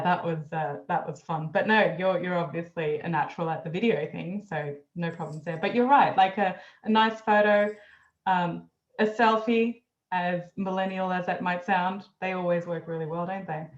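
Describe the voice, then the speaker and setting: A woman's voice: lowish voice, fairly even intonation, a little slow. A woman hosting an interview in a friendly, easygoing way, laughing often and recapping her guest's points. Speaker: interviewer host. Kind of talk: casual interview chat. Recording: roomy, dull lo-fi sound.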